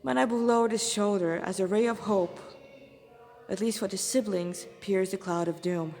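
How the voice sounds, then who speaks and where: A woman's voice: mid-range voice, normal speed, darkish tone. Woman narrating a story in a reverberant room. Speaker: fan fiction author and reader. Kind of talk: read-aloud story narration.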